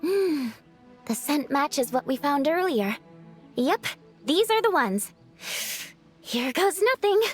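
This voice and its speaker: Game casting: knowledgeable, composed girl, somewhat high voice, precise and matter-of-fact. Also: very animated, slow, pauses often, soft background music, heavily acted. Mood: focused. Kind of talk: video game voice acting.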